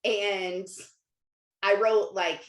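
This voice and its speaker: A woman's voice: medium pitch, fairly even intonation, very slow. A woman with a mid-range voice gives an informal motivational talk as a host and life coach. She is conversational and emphatic, leaning on repetition and rhetorical questions. The sound is roomy and echoey. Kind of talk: casual motivational livestream talk to viewers, reading out comments.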